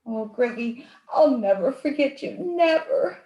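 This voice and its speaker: A woman's voice: a little high, animated, a little slow, dark tone, a little hiss or hum. A woman playing a sugary, two-faced femme fatale in a noir radio play, cooing and melodramatic. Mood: mock heartbroken. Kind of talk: 1940s noir radio acting, live community theatre. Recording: roomy, echoing live stage recording.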